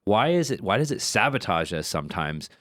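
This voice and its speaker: A man's voice: fairly low pitch, very animated, fairly quick, fairly bright tone, no room sound, studio quality. A man co-hosting a podcast, talking things through in a thoughtful, meandering way with lots of fillers and repeated words. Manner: thoughtful, builds ideas with many fillers and repeats. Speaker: podcast co-host. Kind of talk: casual podcast discussion.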